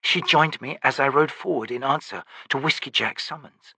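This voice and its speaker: A stern, honourable woman warrior, as a man puts her on speaks low and measured. Her voice is bright and close, recorded with no room sound. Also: slightly rough, dry recording. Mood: earnest.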